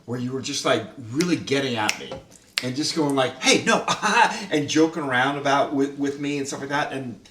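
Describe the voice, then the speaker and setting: Laughing, animated man in a roomy space. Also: mid-range voice, normal speed, a little hiss or hum. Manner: animated, laughing often, many fillers. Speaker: host, director of the radio play. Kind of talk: casual roundtable chat. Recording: roomy sound, mic at a distance.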